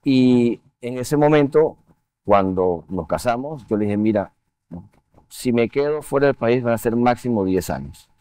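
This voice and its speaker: A man, a guest in a studio TV interview, speaking in a measured, reflective way with frequent fillers. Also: medium pitch, animated, mellow, dry recording. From Venezuela. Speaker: interview guest, finance executive. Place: TV studio set. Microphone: lapel mic.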